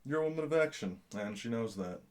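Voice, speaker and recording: A man playing a werewolf prince who gently teases and reassures his future wife in an intimate ASMR roleplay. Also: medium pitch, lively intonation, fast, close to an everyday voice. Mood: reassuring.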